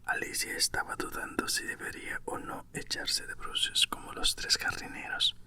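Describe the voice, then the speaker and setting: A man with a Spain accent reading a children's story aloud in a breathy, unvoiced whisper, in the manner of an ASMR narration. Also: very high voice, sharp tone.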